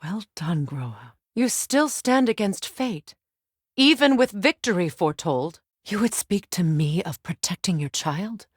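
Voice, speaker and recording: A woman's voice: lowish voice, sing-song, unhurried, slightly rough, no echo, studio quality, exaggerated voice. An actress playing a stern, proud warrior goddess, a battle-hardened mother with a commanding, curt manner, in a fantasy video game. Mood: grave, bitter. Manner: commanding, curt, sharp-tongued. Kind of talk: dramatic fantasy game dialogue.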